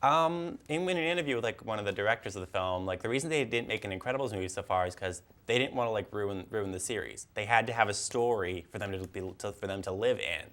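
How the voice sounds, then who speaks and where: A man's voice: lowish voice, quick pace, few pauses, fairly bright tone. A young man co-hosting a casual film and TV chat, stammering and repeating words as he feels his way through sentences. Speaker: co-host of a film and TV talk show.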